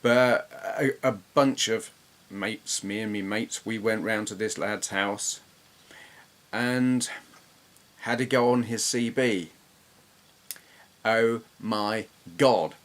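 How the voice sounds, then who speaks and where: A man's voice: fairly low pitch, fairly even intonation, takes it slowly, pauses often. A middle-aged man with an English accent, reminiscing casually in an informal, rambling, self-deprecating way. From England. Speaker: host, gamer.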